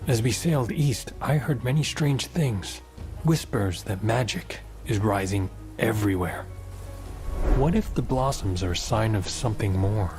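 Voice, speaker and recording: A grave male traveler, played by a man in a fantasy game cinematic. He ponders aloud very slowly and solemnly in a slightly low voice, with music clearly playing behind. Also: a little mellow, lightly acted. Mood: grave, wondering. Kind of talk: dramatic cinematic dialogue.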